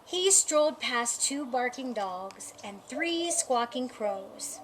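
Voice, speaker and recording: Woman storyteller, high voice, expressive storytime narration, some faint background noise. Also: rough recording. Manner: expressive, deliberate storytime delivery. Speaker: storyteller reading a picture book. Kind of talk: scripted children's story narration.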